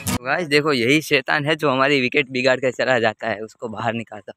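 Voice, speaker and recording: A man's voice: medium pitch, fairly even intonation, brisk, bright, forceful tone, no room sound, clean studio sound, soft background music. Young man vlogging outdoors. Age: young adult. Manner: chatty, addresses viewers directly. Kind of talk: casual vlog narration. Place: outdoor cricket ground. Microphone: handheld camera at arm's length.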